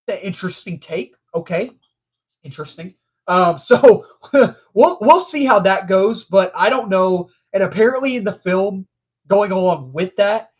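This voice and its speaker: Stuffed-up, husky young man chatting. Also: sits high. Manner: rambling, repeats himself, frequent ums. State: stuffed up nose from allergies. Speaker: movie news livestream host. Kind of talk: casual livestream chat.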